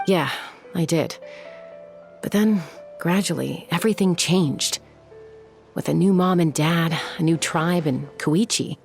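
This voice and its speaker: Casting: a young woman warrior, calm and soft-spoken, slight fry, game score under her. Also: sits low. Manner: soft, measured storytelling. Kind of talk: video game voice acting.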